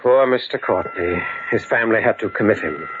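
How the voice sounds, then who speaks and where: A man's voice: lowish voice, lively intonation, a little fast, close to an everyday voice. Guy playing serious old-time radio doctor, matter of fact, muffled with lots of hiss. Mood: concerned. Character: concerned, matter-of-fact family doctor, long-time acquaintance. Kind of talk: 1940s radio acting.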